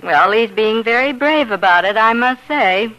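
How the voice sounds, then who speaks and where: An actress playing a sensible, considerate and earnest grown daughter in a 1950s radio drama. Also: female voice, fairly high pitch, expressive, moderate pace, fairly bright tone, dull audio. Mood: concerned. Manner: earnest, well-mannered. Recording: vintage 1950 radio broadcast.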